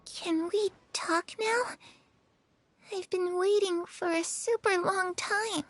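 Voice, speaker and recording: High, unhurried, childlike girl. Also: fairly even intonation, no echo. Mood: eager, puzzled. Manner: childlike, candid. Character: curious, trusting, cheerful young girl. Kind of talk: dramatic video game voice acting. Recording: music under the voice.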